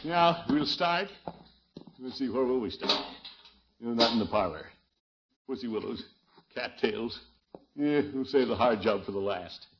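An actor playing a pompous, blustery middle-aged uncle and small-town official in a 1950s radio comedy, with a theatrical delivery. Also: male voice, mid-range voice, animated, unhurried, pauses often. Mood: self-satisfied. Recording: dull, noisy old radio recording.

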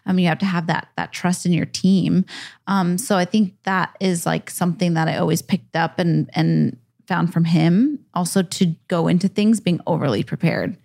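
Earnest woman with a low gravelly voice. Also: steady tone, moderate pace, darkish tone. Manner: earnest, gushing, lots of 'like' and 'um'. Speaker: guest, TV and radio producer. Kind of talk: casual podcast interview.

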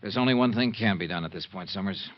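A man's voice: lowish voice, quick pace, lightly acted. An actor playing a wry, hard-boiled male insurance investigator who also narrates, in a 1950s radio drama. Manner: dry, wisecracking. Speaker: lead and narrator. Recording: dull old radio broadcast.

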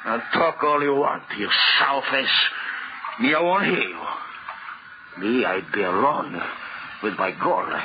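A man's voice: mid-range voice, lively intonation, slow, long pauses. An actor playing a guilty man in panic, agitated and emphatic, in 1940s radio melodrama. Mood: defiant. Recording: very noisy, muffled old radio recording.

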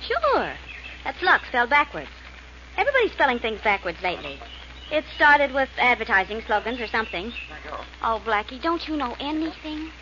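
A pert young sidekick in a 1940s radio drama. She is playful and teasing, with swooping, lively intonation. The old recording is muffled and noisy. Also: fairly high pitch, normal speed. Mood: playful, plucky.

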